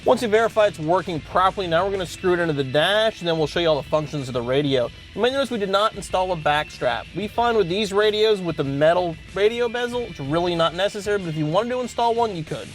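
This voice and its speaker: Higher-voiced man giving how-to instructions over music. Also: expressive, fast talker, few pauses. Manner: plain-spoken, step-by-step explaining. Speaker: host of car installation how-to videos. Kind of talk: instructional product demonstration.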